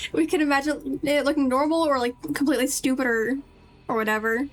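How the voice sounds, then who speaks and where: A woman's voice: sits high, fairly even intonation, normal speed. A woman streaming a video game, chatting casually in a rambling, playful, self-deprecating way.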